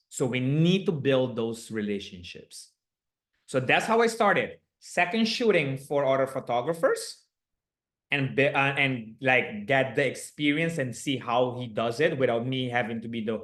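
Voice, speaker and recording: A man's voice: medium pitch, measured pace, a bit bright. An upbeat man with a slight Aruban accent runs a live online coaching session and laughs easily between points. His speech is chatty and encouraging. It comes through a video call. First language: Papiamento.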